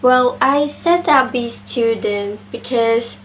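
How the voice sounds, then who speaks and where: A young woman with an Indonesian accent, a native Indonesian speaker, explaining her pronunciation mistakes slowly as she searches for words. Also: fairly high pitch, a little hiss or hum. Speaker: student. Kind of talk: class presentation on pronunciation.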